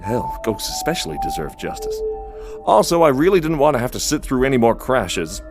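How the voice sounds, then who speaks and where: An actor playing a world-weary detective in an audio drama, dry, deadpan and sardonic. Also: male voice, mid-range voice, fairly quick, over music, lightly acted. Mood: weary narrating. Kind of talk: full-cast audio drama acting with noir narration.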